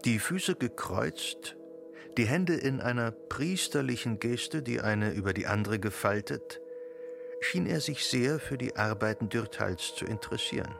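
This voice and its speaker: A male narrator delivers literary radio-drama prose with deliberate, measured phrasing. His mid-pitched voice has a marked gravelly creak, and music plays clearly behind him. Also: expressive.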